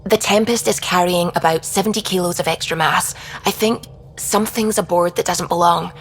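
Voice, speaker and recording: An actress playing an earnest, thoughtful woman science officer on a starship in a video game, with a measured delivery. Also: on the low side, slight background noise, lightly acted. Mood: concerned.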